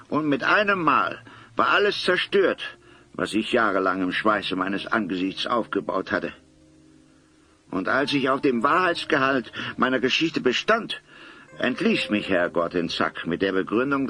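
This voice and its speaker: A man's voice: mid-range voice, bright, forceful tone. A man acting an embittered, vengeful old craftsman in an audio drama, with formal, old-fashioned wording and a theatrical delivery. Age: older. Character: embittered old stonemason, vengeful outcast grandfather.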